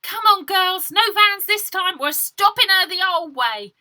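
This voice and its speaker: A streetwise girl played by a woman reader bosses her gang in a children's storybook. Her voice is raised and very bright, with brisk, commanding delivery. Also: very high-pitched, animated, voice pushed up, exaggerated voice. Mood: rousing.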